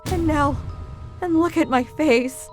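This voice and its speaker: A woman playing a high-voiced vengeful widow. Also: slow pace, darkish tone, faint music behind. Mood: bitter. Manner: bitter, pleading, intense. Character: grieving young woman bent on revenge. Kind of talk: manga dub voice acting.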